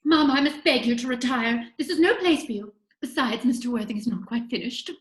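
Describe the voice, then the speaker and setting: A woman plays a young society lady in a period stage comedy. Her voice is very high, and she speaks with affected precision, adoring yet quite wilful. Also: fairly quick, close to an everyday voice. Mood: firm, defiant. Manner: affected, precise, well-spoken. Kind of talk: theatrical comedy of manners reading. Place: virtual performance from home in isolation.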